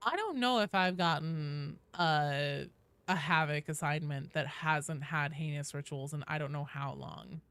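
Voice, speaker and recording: A woman's voice: sits low, measured pace, a bit bright, smooth, no echo. A woman hosting a game livestream, chatting casually and informally with viewers, swearing freely. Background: faint video game sounds.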